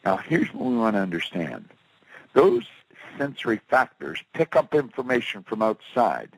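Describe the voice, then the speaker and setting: A man giving a seminar lecture from slides, asking rhetorical questions and acting out quotes, swinging between loud emphatic bursts and soft asides. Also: mid-range voice, big pitch swings, moderate pace, slightly rough, no echo, poor audio. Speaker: motivational speaker.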